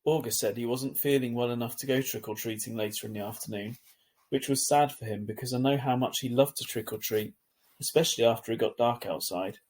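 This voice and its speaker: A man's voice: medium pitch, steady tone, a little fast, a little mellow. A man reading a children's novel aloud as scripted narration, like a teacher to a class.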